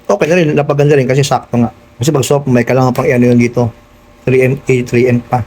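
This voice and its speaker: A man's voice: medium pitch, steady tone, fast, darkish tone. A Filipino man hosting a casual hands-on tutorial vlog, chatting directly to his viewers. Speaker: vlogger hosting an unboxing and installation.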